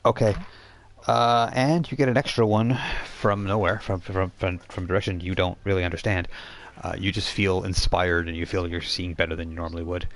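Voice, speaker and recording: Man, slightly low voice, game master, tabletop role-play narration, halting, improvised. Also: little pitch movement. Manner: halting, improvising, thinking aloud.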